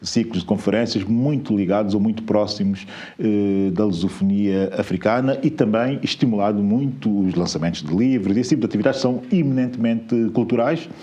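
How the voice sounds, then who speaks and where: A man's voice: fairly low pitch, fairly quick, mellow. A man hosting a studio interview, summarizing the guest's points and prompting with short follow-up questions. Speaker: TV talk show host.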